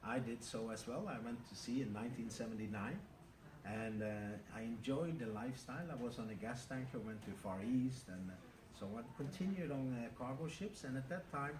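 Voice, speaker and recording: A Norwegian man in his fifties answers in a measured, factual way, often pausing on "uh". He sits away from the mic in a roomy, echoing space. Steady noise hums behind him. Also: medium pitch. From Norway. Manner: measured, factual, with many "uh" pauses. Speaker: cruise ship captain. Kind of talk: interview answers. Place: aboard a large cruise ship. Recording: roomy, distant, noisy ambience.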